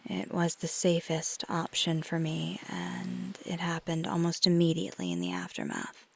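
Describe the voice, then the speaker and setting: A woman voicing a flirty, caring female vampire in an intimate ASMR roleplay, speaking softly and often in a whispery voice, thoughtful and affectionate. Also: on the low side, moderate pace, exaggerated voice. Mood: reflective, subdued. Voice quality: soft, breathy, often whispery. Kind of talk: ASMR roleplay, intimate close address to listener.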